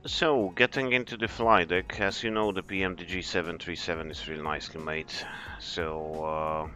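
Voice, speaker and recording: Deep-voiced pilot. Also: male voice, moderate pace, faint music behind. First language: Polish. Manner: relaxed, explanatory, chatty. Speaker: airline captain streaming a flight simulator. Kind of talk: live stream commentary.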